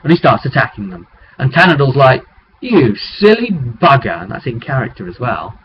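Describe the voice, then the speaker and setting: A man's voice: medium pitch, sing-song, moderate pace. A British man chattily recapping a tabletop roleplaying session as game master, in a casual, informal vlog style with asides to the viewer. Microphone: webcam microphone. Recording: muffled webcam audio with clear noise.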